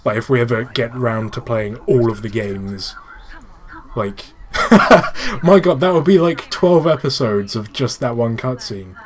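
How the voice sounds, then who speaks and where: A man's voice: medium pitch, measured pace. A man hosts a casual gaming commentary in a mid-range voice. He explains like a keen fan and gets exasperated, swearing as he goes. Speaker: gaming channel host and player.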